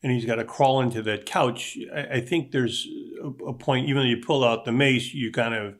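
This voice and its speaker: A man's voice: medium pitch, steady tone. Male panelist, mid-range voice, conversational, stumbles into sentences with repetitions. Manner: halting, repeats words as he starts. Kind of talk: casual panel discussion.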